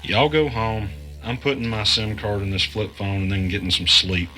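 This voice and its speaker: A weary young gamer played by a man with a fairly low but crisp, forward voice. He is plain-spoken and understated in an audio drama mystery, with faint music behind him. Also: steady tone, normal speed, pushed and bright. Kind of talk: audio drama narration and dialogue.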